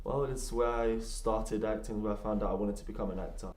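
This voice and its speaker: Mellow, hesitant London man. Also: fairly low pitch, fairly even intonation, brisk. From Brixton, London, England. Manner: hesitant, restarts and repeats phrases. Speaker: actor being interviewed. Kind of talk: casual interview talk. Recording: roomy echo.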